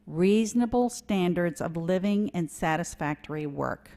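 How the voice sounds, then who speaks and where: A woman giving a sermon in a church, earnest and measured, with a touch of self-deprecating humor. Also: fairly low pitch, animated, warm tone. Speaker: lay preacher. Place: church sanctuary.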